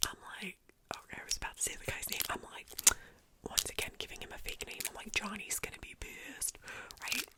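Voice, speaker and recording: A woman from New York whispering chatty neighborhood gossip in ASMR style, with casual swearing. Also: quick pace. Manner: whispering throughout, chatty, swearing, animated retelling. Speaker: vlogger telling neighborhood gossip.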